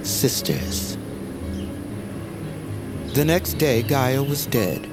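A man narrating a mythic legend as a solemn storyteller, in a measured, lyrical manner. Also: mid-range voice, expressive, music in the background. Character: solemn male storyteller recounting an ancient legend.

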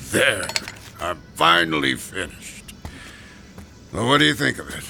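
An actor playing a chatty, folksy gravestone carver who sighs about the heat, in classic radio drama style. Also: male voice, mid-range voice, gravelly, background noise, full character voice. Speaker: stonecutter.

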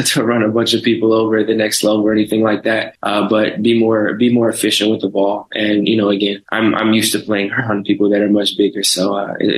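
A young man, an athlete answering interview questions in a hesitant, repetitive way, recorded with roomy echo. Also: lowish voice. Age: young adult. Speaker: football player answering questions. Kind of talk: unscripted press interview. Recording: roomy, echoing interview sound.

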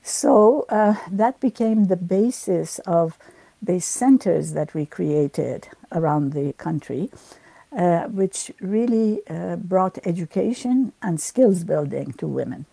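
An older woman from Iran speaks as an interview guest. Her voice is on the low side with a gravelly fry and a soft, dark tone. She answers reflectively, halting and choosing words with care, in a dry, close recording. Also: expressive, dry recording. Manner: halting, deliberate. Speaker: interview guest, former government minister.

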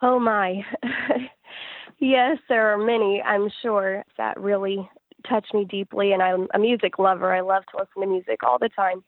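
Woman in a muffled, even-toned interview. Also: medium pitch, moderate pace, no echo. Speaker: singer-songwriter being interviewed. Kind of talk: conversational interview answers.

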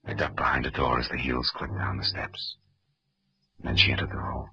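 Very deep-voiced hard-boiled American detective. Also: male voice, normal speed. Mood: tense. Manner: deadpan, wisecracking. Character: hard-boiled male private detective, wry and weary. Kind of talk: 1940s radio drama acting, first-person noir narration. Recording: muffled old radio recording, music behind.

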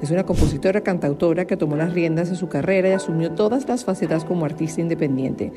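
A woman's voice: on the low side, fast talker. A podcast host recording an informal, self-mocking voice-over introduction for her guest. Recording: music bed under the voice.

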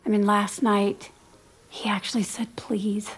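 A woman playing a reserved, guarded married woman in an audio drama, speaking in a measured, hesitant, understated way. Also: mid-range voice, level tone, husky. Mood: subdued, troubled. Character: reserved, guarded married woman, uneasy among gossiping friends. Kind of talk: naturalistic audio drama dialogue. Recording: music playing behind the voice.

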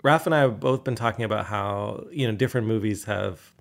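Creaky, soft-spoken man in his forties. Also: lowish voice, a little fast. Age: 40s. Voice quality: creaky vocal fry, soft whispery tones; nasal. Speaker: podcast co-host. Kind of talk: casual podcast chat. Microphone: USB condenser mic.

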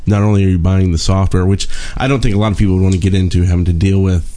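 A man's voice: low-pitched, fairly even intonation, rapid-fire, mellow. A man co-hosting a casual gaming podcast, asking questions and thinking aloud. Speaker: podcast co-host.

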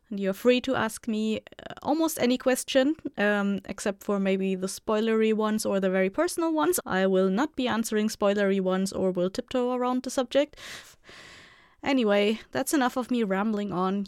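A woman's voice: a little high, darkish tone, clear, resonant tone, no room sound, studio quality. A woman hosting her audio drama podcast, chatting informally and playfully to listeners. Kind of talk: casual podcast chat to listeners.

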